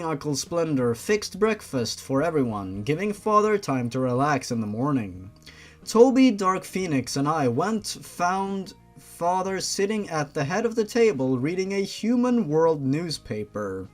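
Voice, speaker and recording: A man reading a story aloud as host, theatrical and sarcastic, with sweary mocking asides. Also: on the high side.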